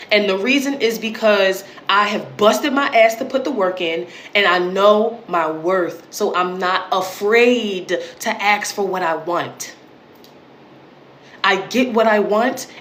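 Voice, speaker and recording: Deep-voiced woman going off, blunt and cussing, punchy repeated lines, echoey room. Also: a bit bright, slight background noise. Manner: blunt, emphatic and profane, with repeated punchy phrases. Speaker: online creator and educator. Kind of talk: direct-to-camera monologue. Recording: roomy echo.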